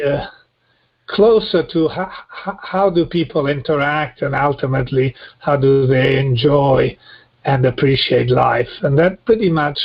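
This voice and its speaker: A man in his sixties from Rome, with an Italian accent, giving reflective interview answers in halting, deliberate phrases. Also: medium pitch, dull audio. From Rome, Italy. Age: 60s. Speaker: guest.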